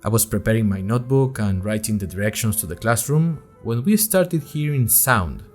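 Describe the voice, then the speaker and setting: Male actor as wry, nervous college student narrating an audio drama diary; lowish voice, animated swings, music underneath. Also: normal speed, lightly acted. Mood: chatty, animated. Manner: conversational, wry, dramatic emphasis. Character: chatty, nervous, wryly humorous young male college student. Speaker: narrator of an audio fiction podcast. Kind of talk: scripted first-person storytelling, diary-like.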